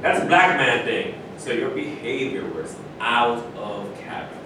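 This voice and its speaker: A man in a staged play reading, playing a candid, opinionated basketball legend with deliberate, emphatic pauses. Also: lively intonation, lightly acted. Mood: explaining. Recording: very echoey distant room, rumbling noise.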